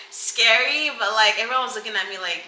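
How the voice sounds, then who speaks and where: A woman's voice: a little high, normal speed, pushed and bright, echoey room, a little hiss or hum, rough recording. A woman in her mid-twenties from Cameroon, partly raised in the US, chatting casually and informally to camera as a vlogger, with giggly, rambling asides. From Cameroon, partly grew up in the US. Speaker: vlogger introducing her channel.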